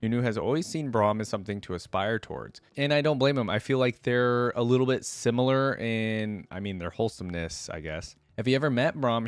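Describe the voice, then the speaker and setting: Man, lowish pitch, easygoing reaction host, reading aloud with casual asides, close dry recording. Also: dry recording. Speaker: host of a game lore reaction channel. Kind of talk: casual reaction commentary mixed with reading wiki text aloud.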